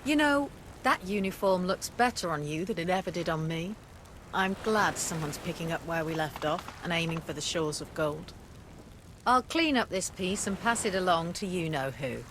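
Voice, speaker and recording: A woman's voice: on the low side, animated, moderate pace, natural voice. Casting: woman to play a former pirate haunted by guilt, confiding and sincere, for a game cutscene; noisy ambient backdrop. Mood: encouraging. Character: guilt-ridden ex-pirate woman hiding from her past. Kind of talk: video game cutscene dialogue.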